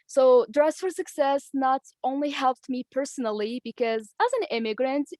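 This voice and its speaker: A woman's voice: high-pitched, steady tone, moderate pace, darkish tone, no echo, studio quality. A woman from Morocco with a Moroccan accent, earnestly and proudly sharing her story as an ambassador at an online meeting. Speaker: ambassador for a women's nonprofit.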